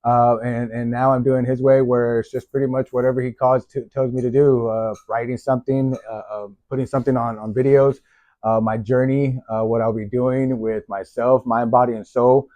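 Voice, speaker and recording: A man's voice: medium pitch, flat delivery, normal speed, mellow, dry recording. A middle-aged man from Texas, vlogging in a rambling, casual way with many fillers and restarts. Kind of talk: casual faith-based vlog intro. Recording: dull, dry lo-fi sound.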